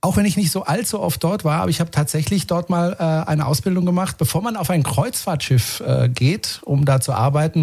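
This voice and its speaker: A man hosting a casual podcast, chatty and full of fillers as he introduces his guests. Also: on the high side. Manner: chatty, lively intonation, frequent fillers. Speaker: podcast host.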